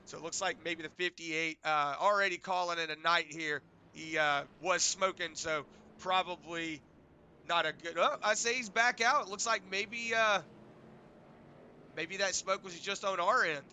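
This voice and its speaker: Hoarse man calling a sim truck race. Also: sits high, moderate pace, slight background noise. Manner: relaxed, rambling play-by-play with fillers. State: hoarse from a sinus infection. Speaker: race commentator. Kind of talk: play-by-play sim racing commentary.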